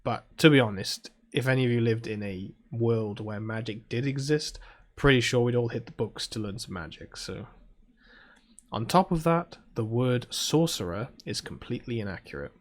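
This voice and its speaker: Soft-spoken British man, chilled delivery. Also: medium pitch, normal speed. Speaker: gaming video host. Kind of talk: reading visual novel text aloud with casual asides.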